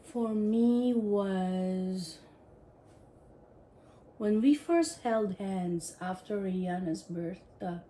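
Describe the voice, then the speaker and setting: A woman's voice: medium pitch, very slow, mellow, a little hiss or hum, low-quality recording. A woman reading game questions aloud and teasing her husband in a casual chat. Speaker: wife asking the game questions.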